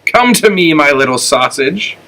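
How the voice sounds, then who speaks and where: A man's voice: fairly high pitch, expressive, normal speed, bright, forceful tone, pitched above the performer's own voice. Storybook villain: big coaxing male butcher, broken English, raised sly delivery, man reader acting. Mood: creepy coaxing.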